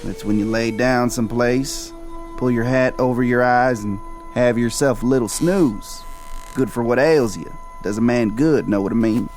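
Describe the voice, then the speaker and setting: An actor playing a lazy, grumbling drifter in a western radio drama, with a folksy, drawling manner. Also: male voice, medium pitch, normal speed, darkish tone, soft background music, natural voice. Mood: wheedling, lazy. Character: lazy, grumbling old-west drifter working off a fine.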